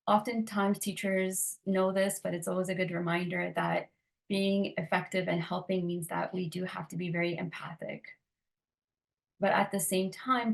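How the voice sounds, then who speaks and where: A woman giving an academic presentation on educating refugee students, speaking in a measured, explanatory way. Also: on the low side, vocal fry.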